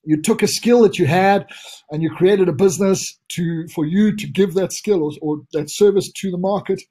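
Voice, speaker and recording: Husky South African man coaching a webinar. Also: high-pitched, moderate pace, warm tone. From Namibia. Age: 40s. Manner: upbeat, motivational, with fillers and restarts. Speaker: business coach presenting a webinar. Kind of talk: live webinar presentation.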